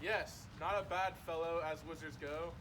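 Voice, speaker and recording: Distant and set in clear outdoor noise, a man acts a huge, gruff man of the wild in an amateur stage reading. His fairly high male voice is suspicious and blunt. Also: steady tone, moderate pace, close to an everyday voice. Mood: gruff, curious. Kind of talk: amateur readers' theater reading. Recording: distant, noisy outdoor recording.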